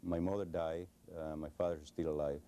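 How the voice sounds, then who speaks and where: A man's voice: a bass voice, level tone, fairly quick, dark tone, clear, resonant tone. A middle-aged man from Cuba with a Cuban Spanish accent, answering interview questions hesitantly with many fillers and restarts. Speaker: interview guest. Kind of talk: recorded television interview.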